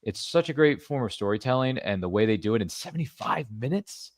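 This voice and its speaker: A man's voice: mid-range voice, expressive, brisk, no room sound. A man hosting a podcast interview, chatting casually and rambling with playful asides. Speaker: podcast host.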